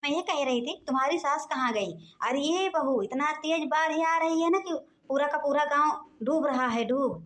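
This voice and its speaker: Village auntie, cartoon comedy, woman performer: high voice, urgent, insistent, gossipy. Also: normal speed, hardly any pauses, a bit bright. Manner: insistent, repetitive. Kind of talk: Hindi animated comedy dialogue.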